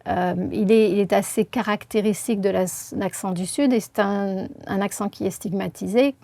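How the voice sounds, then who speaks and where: A linguistics professor speaks in an interview, thoughtful and measured, pausing now and then to find the right word. Her voice has a gravelly, creaky edge and sits at an ordinary pitch for a woman. The sound is close and dry. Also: steady tone, warm tone, no room sound. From southern France, Marseille region. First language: French. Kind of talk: explanatory interview answers.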